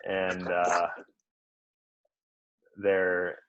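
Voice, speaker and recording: A man hosting a podcast, talking in a relaxed, chuckling way with lots of hesitant fillers. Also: deep voice, very slow, muffled recording. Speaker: podcast host. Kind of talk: casual chat.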